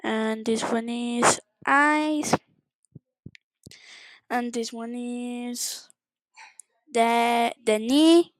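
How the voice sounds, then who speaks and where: A woman with a high voice teaches a basic language lesson at a very slow pace. She uses simple instructional phrases and repeats words as she goes. The sound is dry and close, with no room echo. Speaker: English teacher. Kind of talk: informal screen-share language lesson narration.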